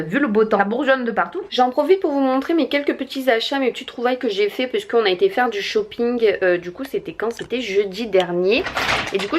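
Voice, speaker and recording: A young woman in her late twenties chats excitedly through a casual home vlog. She talks freely with many fillers and pauses, recorded indoors by a window. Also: on the high side, slight background noise. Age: 20s. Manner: chatty and enthusiastic, many fillers. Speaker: vlogger.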